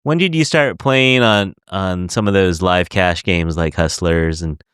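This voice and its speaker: Giggly chatty man hosting, smooth voice. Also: sits low, a little slow, fairly bright tone, no echo, studio quality. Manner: chatty, giggly, rambling questions. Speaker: podcast host. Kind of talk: casual interview.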